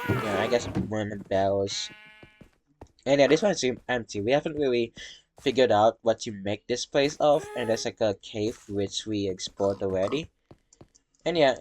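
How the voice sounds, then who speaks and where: A young man from Indonesia narrating a tour of his game world in a halting, rambling way, tired from talking. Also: medium pitch, darkish tone, slight background noise. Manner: halting, rambling, many fillers and restarts. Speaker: Minecraft world tour vlogger. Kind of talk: casual gameplay walkthrough narration.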